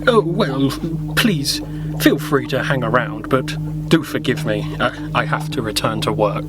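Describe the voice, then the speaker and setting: A man playing a frail, apologetic old scientist with a weak, raspy, stammering voice in an audio drama parody. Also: mid-range voice, animated, measured pace, loud music behind the voice, close to an everyday voice. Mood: hesitant, polite. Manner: stammering, polite, hesitant.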